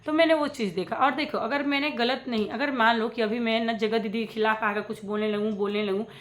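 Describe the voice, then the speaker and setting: A woman's voice: a little high, fairly even intonation, a little fast. A woman, talking casually and emphatically to viewers in an opinionated reaction vlog, repeating points and mixing in English words. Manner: emphatic, repetitive, direct address with English words mixed in. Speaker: reaction video creator addressing viewers.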